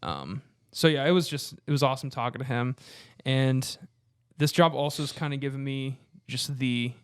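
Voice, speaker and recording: A man chatting casually as a podcast co-host, telling stories in an informal, halting way and acting out the dialogue. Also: mid-range voice, smooth, dry recording, clean studio sound. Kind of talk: casual conversational storytelling.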